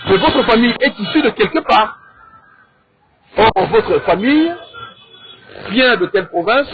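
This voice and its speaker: A man with a Congolese accent debating in a measured, didactic way, in short deliberate phrases and with rhetorical questions. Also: high voice, a little hiss or hum. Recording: thin, phone-like narrow sound.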